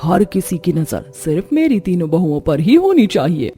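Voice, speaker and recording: Woman as a haughty rich mother-in-law, mellow voice. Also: lowish voice, very animated, moderate pace, faint music behind. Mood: smug, commanding.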